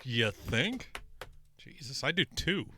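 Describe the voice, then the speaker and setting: A man's voice: medium pitch, lively intonation, slow, pushed and bright, slight background noise. A man co-hosting a podcast, joking and swearing casually with friends on a headset mic. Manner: joking, profane. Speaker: podcast co-host.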